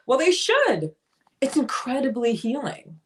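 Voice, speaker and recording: A woman's voice: sits high, big pitch swings, slow pace, heavily acted. A woman playing a blunt, free-spirited, opinionated sister in a dramatic play reading. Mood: breezy, pointed. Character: blunt, free-spirited sister pushing self-liberation on her sibling.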